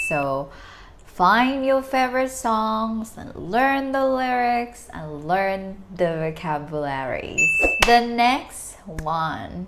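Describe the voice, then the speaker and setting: A woman's voice: lowish voice, a little hiss or hum. A young woman from Thailand with a Thai accent, giving earnest, encouraging tips about learning English in a casual vlog, often saying "like". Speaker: vlogger.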